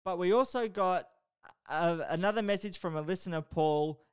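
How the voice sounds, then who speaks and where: A man's voice: high-pitched, moderate pace, clean tone. A man co-hosting a podcast in casual chat, telling stories in a sheepish, self-deprecating way. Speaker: podcast co-host.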